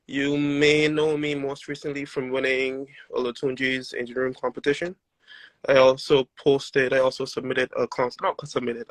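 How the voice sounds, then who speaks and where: A Barbadian man with a light accent chats through a phone mic in a crisp, bright tone. The sound is dry and close, with no room around it. He reflects and works his points out aloud, with asides along the way. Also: mid-range voice, no room sound. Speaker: steel pan player and guest. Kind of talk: casual podcast chat.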